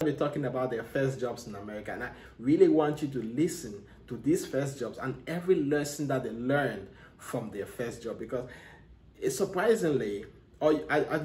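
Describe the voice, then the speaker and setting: A man's voice: medium pitch, a little mellow, echoey room. A man from Cameroon hosting a podcast, speaking earnestly and motivationally with frequent restarts. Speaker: podcast host. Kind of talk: solo podcast monologue.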